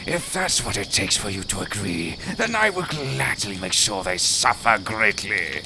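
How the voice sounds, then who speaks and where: A man playing a cunning, proud lone werewolf in an audio roleplay, speaking formally and deliberately with a menacing edge. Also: fairly low pitch, very animated, creaky, background noise, heavily acted. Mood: dark satisfaction. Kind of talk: slow theatrical audio roleplay.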